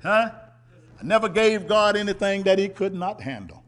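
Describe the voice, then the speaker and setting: A man a fiery sermon, shouting scripture and then dropping to low murmurs while calling out to the congregation. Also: animated, moderate pace. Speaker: preacher.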